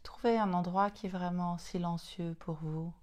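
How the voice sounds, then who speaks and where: A woman leading a guided yoga nidra relaxation in a soothing, unhurried manner, in short phrases separated by long pauses. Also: lowish voice, fairly even intonation, a little mellow.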